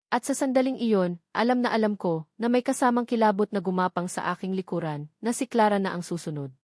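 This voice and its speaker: A woman narrating a dramatic first-person story in a measured, suspenseful storytelling manner. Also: mid-range voice, darkish tone, no echo, clean studio sound.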